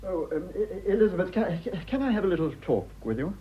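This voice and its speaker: An actor playing an earnest, awkward, lovelorn husband in a British radio drama, halting and apologetic as he pleads. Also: high-pitched, expressive, lo-fi sound, slight background noise.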